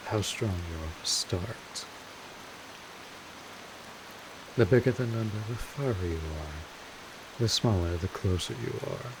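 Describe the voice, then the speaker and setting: A man acts out a weary vampire taking a newcomer under his wing in a roleplay drama. His voice sits very low and he rambles along slowly, gruff but kindly, in casual talk. A steady rain-like hiss runs behind him. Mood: casual, explaining. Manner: casual, rambling, unhurried explaining. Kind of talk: roleplay audio drama, talking directly to the listener.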